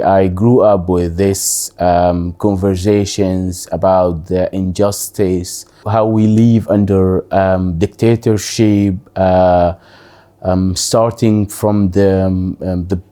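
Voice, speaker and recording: Slow, deep-voiced man. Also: warm tone. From Syria. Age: 30s. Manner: halting, thoughtful, many pauses. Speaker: interviewee. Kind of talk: personal interview, life story.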